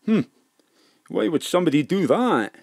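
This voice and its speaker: An actor in an audio drama playing a wary, cocky safecracker gangster with rough, slangy talk. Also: medium pitch, expressive, moderate pace, darkish tone, no room sound, clean studio sound. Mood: evasive.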